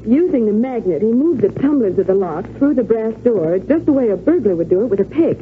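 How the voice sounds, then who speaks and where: A woman's voice: on the high side, animated, a little fast, slight background noise, natural voice. Lady playing playful magician's assistant, bouncy old radio delivery, tinny sound. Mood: playful, intrigued. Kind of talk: 1940s radio drama acting.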